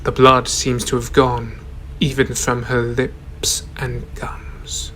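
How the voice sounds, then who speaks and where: A solemn prosecutor acted by a man addresses a courtroom in a radio play. He is formal and measured, with a very even tone and faint noise behind him. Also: mid-range voice. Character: solemn male court prosecutor reading out evidence. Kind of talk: radio drama acting, formal reading aloud.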